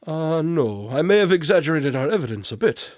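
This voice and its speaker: A man playing a shrewd, unflappable detective in a radio drama, measured and knowing as he confronts a suspect. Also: on the high side, big pitch swings, dry recording, clean studio sound. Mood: smug, calm. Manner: measured, knowing, coolly accusatory. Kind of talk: amateur radio drama acting.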